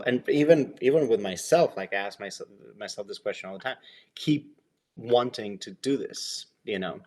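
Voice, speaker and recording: Casting: podcast host, man, lowish voice, musing and meandering. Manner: rambling, thoughtful, many fillers. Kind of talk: casual interview intro.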